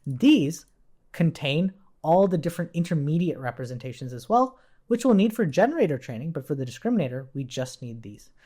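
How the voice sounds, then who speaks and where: A man's voice: a little high, sing-song, a little fast, mellow. A man giving an informal coding tutorial as an instructor, explaining step by step and checking in with rhetorical questions while he types. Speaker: programming instructor.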